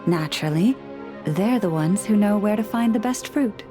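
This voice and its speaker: A woman plays a gentle keeper of a forest library who talks with animals, her voice mid-pitched, calm and politely formal. Game music plays clearly underneath her lines. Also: normal speed, natural voice. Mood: patient. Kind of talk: video game voice acting. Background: game background music.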